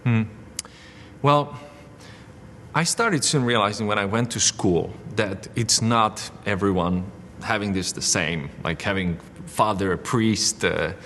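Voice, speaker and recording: A man's voice: lowish voice, fairly bright tone, in a large hall, slight background noise. A young Czech man, a missionary guest, speaking English with a Czech accent in an easygoing, filler-heavy way with frequent laughs. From Czech Republic. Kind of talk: casual interview.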